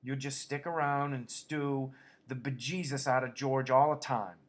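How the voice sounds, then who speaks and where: A nagging old aunt scolds in a high, shrill voice, as a man puts her on for a storybook reading. She harps on and on, sharp and reproachful. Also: fairly even intonation, unhurried, natural voice. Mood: scolding.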